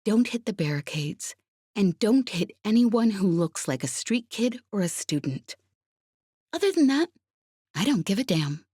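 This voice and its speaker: A woman's voice: mid-range voice, sing-song, a little slow, dark tone, slightly rough, dry recording, pitched above the performer's own voice. A brash, cheerful woman pilot from a space opera audiobook, acted out by a female reader. She drawls her taunts with cocky confidence, in a dry, close recording. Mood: brisk, bossy. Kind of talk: audiobook character acting.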